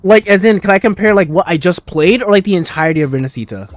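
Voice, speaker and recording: A man's voice: high voice, lively intonation, fast talker. A man streaming a game and giving casual, slangy, gushing commentary peppered with swearing. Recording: game music behind the voice. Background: video game music and dialogue.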